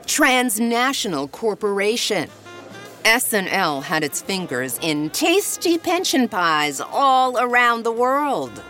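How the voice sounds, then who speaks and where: An actress playing a brash, vain, worldly socialite widow in an audio drama, with a knowing, theatrical drawl. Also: female voice, fairly high pitch, sing-song, slow pace, pushed and bright, faint music behind, full character voice.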